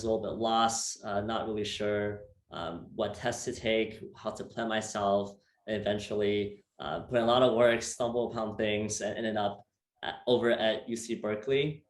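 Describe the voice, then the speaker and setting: A man's voice: lowish voice, fairly even intonation, normal speed. A young man from Taiwan hosting a casual interview, upbeat and full of fillers and repeated words. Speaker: host. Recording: echoey room.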